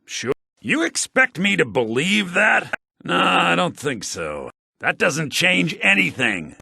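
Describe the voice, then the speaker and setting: A man playing a sly, grasping shopkeeper in a fantasy video game, with a raspy, theatrical delivery, in low-bitrate compressed audio. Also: sharp tone, dry recording, studio quality, full character voice. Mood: sly, wheedling. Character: sly fantasy male shopkeeper, grasping, quick to yell at thieves. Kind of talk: video game character barks.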